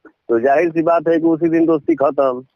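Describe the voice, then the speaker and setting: A man's voice: fairly high pitch, wildly swooping pitch, moderate pace, vocal fry, dry recording. Dull lo-fi call, defensive Bhojpuri-accented man. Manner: defensive, argumentative. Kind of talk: heated personal phone conversation. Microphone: phone. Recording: dull, lo-fi phone recording.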